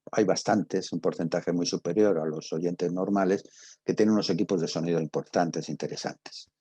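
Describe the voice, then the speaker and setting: Male teacher, Castilian Spanish accent, dark and husky tone, very dry close sound, halting explanatory delivery that checks in with listeners. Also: low-pitched, dry recording. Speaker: teacher of radio drama. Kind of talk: informal spoken lecture.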